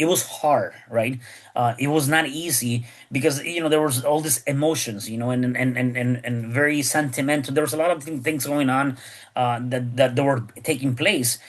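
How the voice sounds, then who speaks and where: Man, podcast host, casual chat, halting restarts, mid pitch. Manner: rambling, many repeated words and restarts.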